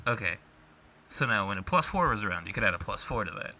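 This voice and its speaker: A young man with a very bright, forceful voice chats as a podcast co-host. His pitch moves around a lot as he argues his side in loose, profane banter. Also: big pitch swings, fast talker, a little hiss or hum. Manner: profane, argumentative. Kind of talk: casual chat, banter.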